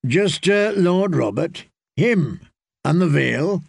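A man voicing a smooth, wry, scheming male lord protector. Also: fairly high pitch, lively intonation, slow pace, dry recording, clean studio sound, voice pushed up, exaggerated voice.

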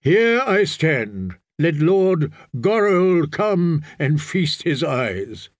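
Man voicing a stern, slow-spoken prophet priest. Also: fairly high pitch, very animated, no echo, clean studio sound, heavily acted. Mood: stern, commanding. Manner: slow, solemn, commanding. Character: stern, fervent prophet priest of a sea god. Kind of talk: dramatic fantasy audiobook character voice.

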